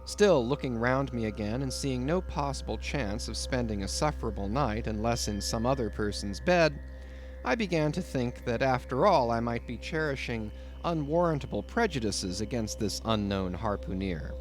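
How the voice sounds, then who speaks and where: A man reading literary fiction as an expressive audiobook narrator. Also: mid-range voice, moderate pace, faint music behind.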